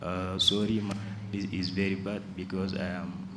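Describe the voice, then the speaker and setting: Guy, low flat voice, searching for words. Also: moderate pace. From Senegal. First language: French. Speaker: PhD student. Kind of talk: self-introduction. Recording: echoey room with background noise.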